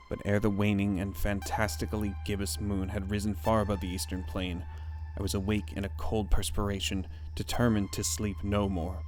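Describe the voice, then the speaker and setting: A man's voice: on the low side, a little mellow, lightly acted. A man playing a haunted explorer recounting a strange ordeal in a solemn, literary audio drama, with an ambient score behind. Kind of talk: dramatic audio horror story narration. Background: ambient musical score.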